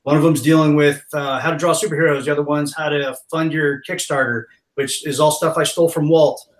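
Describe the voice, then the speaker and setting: Male guest, comic artist, keen talker, slightly high voice, roomy sound. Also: steady tone, brisk. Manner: eager, plugging events. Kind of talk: casual chat. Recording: roomy echo.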